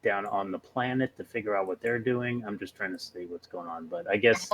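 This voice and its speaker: A man's voice: fairly low pitch, fairly quick, fairly bright tone, a little hiss or hum. A man playing a tabletop role-playing game, chatting casually and tentatively, circling back over his words.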